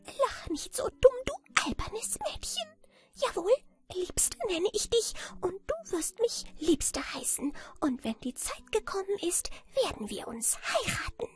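A tiny, cranky old fairy-tale man, acted out by a woman storyteller, with an extremely high, thin, squeaky voice. He is greedy, brusque and bossy, piping out his demands impatiently. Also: big pitch swings, unhurried, whispered, exaggerated voice. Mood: furious. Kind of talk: children's fairy tale reading.

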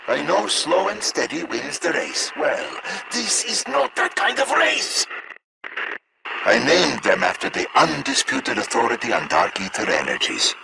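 A man's voice: a little high, creaky, heavy background noise, heavily acted. An actor playing an eccentric, sardonic and impatient male scientist in a video game, theatrical and exclamatory.

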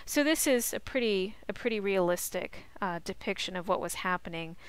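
A woman college instructor talking through slides in a measured, explanatory way and reading excerpts aloud. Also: mid-range voice, expressive, clear, resonant tone, low-quality recording.

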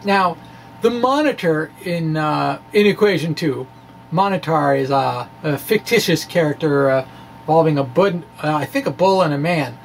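A man's voice: fairly high pitch, normal speed, creaky, slight background noise. A middle-aged man reading a science blog aloud with informal asides, in a quirky, theatrical, jokey and folksy manner. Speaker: science video blogger. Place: small indoor room with bookshelves. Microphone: microphone on a stand in front of him.

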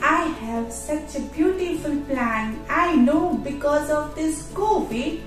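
A woman plays an upbeat, caring mother in a children's puppet show. She speaks very slowly and very high, in a strongly echoing room. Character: caring, upbeat young mother planning eagerly. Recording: echoey room, distant mic, music behind.

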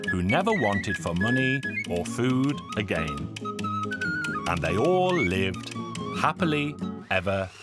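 A man narrating a cartoon folk tale in an expressive, scripted storytelling manner. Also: medium pitch, slow pace. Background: loud folk music.

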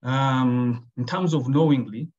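A man in his forties from Uganda, delivering a webinar lecture at a middle pitch. He hesitates often, filling gaps and restarting his sentences. The call audio is dull and lo-fi. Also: takes it slowly, a little mellow, husky. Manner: hesitant, many fillers and restarts. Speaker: guest lecturer, pain specialist. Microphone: video call.

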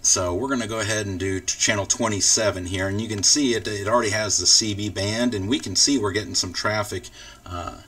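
A man hosting a casual software walkthrough, explaining things informally step by step. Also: fairly low pitch, steady tone, brisk, far from the mic. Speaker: tutorial host.

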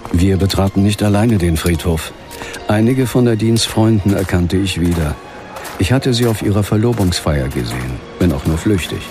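Grieving middle-aged ghost hunter, low somber voice, horror audio drama with music under it. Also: male voice, animated. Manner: somber, measured. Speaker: lead actor and first-person narrator. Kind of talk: dramatic audio-play narration.

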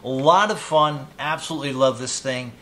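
A man's voice: medium pitch, bright, forceful tone. Casual middle-aged man over background noise. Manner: casual, unhurried, thinking aloud. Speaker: product reviewer and video host. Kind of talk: casual hands-on product demo. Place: small indoor hobby room at a desk. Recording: clear background noise, room ambience.

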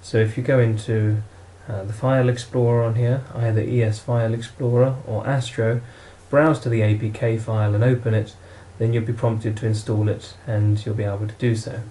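Mellow-voiced man giving a product walkthrough. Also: fairly low pitch, little pitch movement, normal speed, hardly any pauses, a little hiss or hum. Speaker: product demonstrator. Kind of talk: instructional product walkthrough narration.

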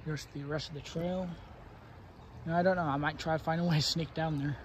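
A man's voice: on the high side, fairly even intonation, a little fast, a little hiss or hum. A young man vlogging outdoors on a wooded trail, talking to a phone camera held at arm's length, rambling and hesitant with lots of fillers. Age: young adult. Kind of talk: casual outdoor vlog.

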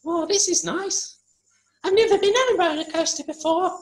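A woman voicing a small, excitable puppet in a children's show, childlike and exclaiming, often stammering. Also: very high voice, normal speed. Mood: cheerful. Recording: roomy, noisy home recording.

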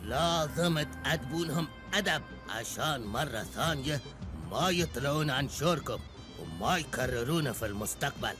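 A man's voice: medium pitch, animated, normal speed, over music, natural voice. A man voicing a smug middle-aged bus driver in a cartoon, lecturing in an Emirati Arabic accent. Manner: lecturing, self-important. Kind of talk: Gulf Arabic cartoon dub.

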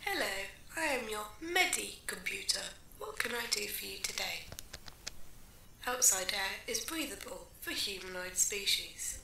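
A polite female medical computer from a space audio drama, voiced by a woman. It sounds creaky and echoey, with obvious noise over it. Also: mid-range voice, animated, a little slow. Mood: polite, neutral. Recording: noisy, echoey, distant sound.

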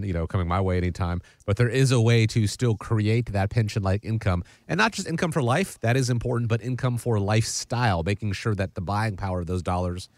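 A young male host talks quickly and briskly, moving through plugs and questions with a promotional push. His voice sits a little low. The sound is close and dry. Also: no room sound. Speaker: podcast host. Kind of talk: casual podcast talk with ad reads.

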